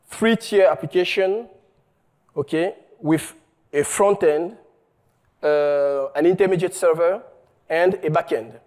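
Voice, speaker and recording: A man from Cameroon, giving a conference talk on his research, halting often and checking in with the audience. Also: a little high, darkish tone. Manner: halting, many fillers and restarts, checks in with "okay?". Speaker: researcher presenting a paper. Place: conference hall.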